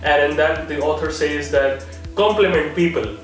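A young man, his voice a little high, telling stories among friends and breaking into laughs. He is picked up by a camera across a living room, so the sound is very echoey and distant. Faint music plays underneath. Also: normal speed. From Pakistan. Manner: laughs often, restarts sentences, animated hand gestures. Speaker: vlog host. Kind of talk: casual storytelling among friends. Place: living room in a flat, indoors. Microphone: far camera. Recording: very roomy, from a far-off camera mic.

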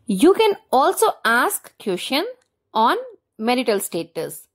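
A woman with an Indian accent teaching a language lesson in a deliberate, instructional way, repeating example sentences. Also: on the high side, a bit bright.

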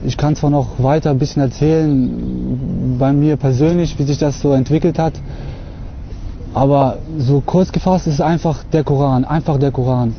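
A young man from Russia talking to camera outdoors on a lawn, earnest and hesitant, filling pauses often. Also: mid-range voice, steady tone, gravelly, highs cut off, a little hiss or hum. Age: young adult. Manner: hesitant, many fillers, earnest. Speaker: convert giving a testimony. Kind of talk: unscripted talk to camera.

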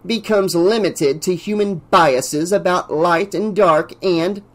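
A man's voice: high voice, slow, voice pushed up. A man voicing a fragile, awkward young male assistant in his twenties, reedy and fawning, in an audiobook story. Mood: earnest.

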